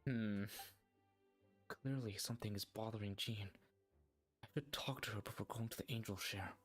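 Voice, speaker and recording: A man with a low voice plays through a game and thinks out loud. His commentary is casual and wry, with faint game music behind it. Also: fairly even intonation, normal speed. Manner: wry, musing aloud. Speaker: game streamer. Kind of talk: casual play-along commentary.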